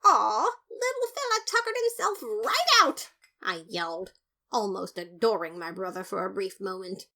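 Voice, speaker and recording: A woman's voice: medium pitch, lively intonation, moderate pace, pushed and bright. A woman voicing an earnest, boastful male skeleton detective who narrates his case in a fan audio drama, theatrical and emphatic. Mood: gleeful, proud. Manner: theatrical, self-satisfied, emphatic.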